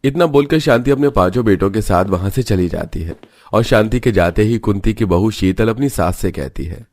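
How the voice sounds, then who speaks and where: A man's voice: fairly low pitch, moderate pace, darkish tone. A man narrating a scripted cartoon family story as the storyteller.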